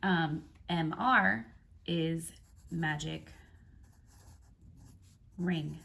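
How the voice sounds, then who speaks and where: Hoarse, stuffy woman teaching slowly. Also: low-pitched, fairly bright tone. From North Carolina, United States. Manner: slow, careful spelling out of abbreviations. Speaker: crochet teacher. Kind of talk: casual tutorial.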